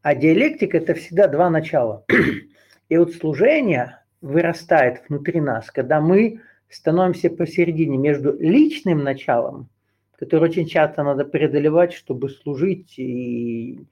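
A man leading a group session, pitched a little high for a man, speaking off the cuff in long, winding explanations. He hesitates with fillers and keeps circling back to restate his ideas. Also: lively intonation, a little slow, darkish tone. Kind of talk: unscripted esoteric lecture.

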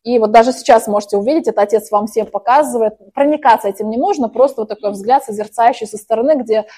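A woman's voice: a little high, fast talker, dark tone. A woman leading a group spiritual practice, first intoning set phrases, then explaining at length in a chatty way with many fillers. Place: hall with a group.

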